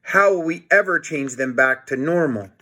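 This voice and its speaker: A man's voice: on the high side, expressive, normal speed, sharp tone. A man reading the part of a wry, bossy schoolboy ringleader from a comic storybook, matter-of-fact. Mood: worried.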